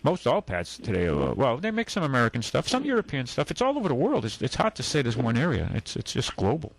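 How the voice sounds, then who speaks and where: A man's voice: medium pitch, wildly swooping pitch, fast talker, creaky, no room sound, low-quality recording. An older man hosting a call-in radio talk show, rambling and folksy with many restarts. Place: radio studio.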